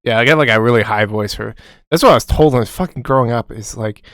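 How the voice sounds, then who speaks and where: A man's voice: medium pitch, fast talker, a bit bright, no room sound, studio quality. A young man co-hosting a casual podcast, rambling and swearing constantly. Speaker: podcast co-host. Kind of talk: casual chat.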